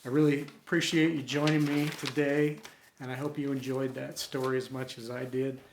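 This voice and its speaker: A man reading a story aloud as host, with expressive, dramatic delivery. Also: medium pitch, normal speed, slight background noise. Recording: roomy, echoey home recording.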